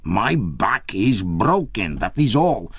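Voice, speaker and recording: A man's voice: fairly low pitch, big pitch swings, slow pace, a bit bright, slightly rough, no echo, heavily acted. A man voicing a starving, lame Russian man in an audiobook, exclamatory and dramatic in manner. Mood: groaning, pained. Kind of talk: audiobook character dialogue.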